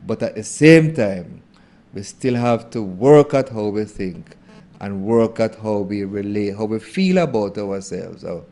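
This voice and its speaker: A man, a theologian answering an interview question in a thoughtful, halting way with many fillers. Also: lowish voice, animated, dark tone. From Jamaica.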